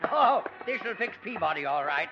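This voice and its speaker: An actor playing a cheerful, boastful old country doctor in a 1950 radio comedy, chuckling and self-satisfied. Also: male voice, sits high, very animated, normal speed. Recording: dull old radio recording with faint hiss.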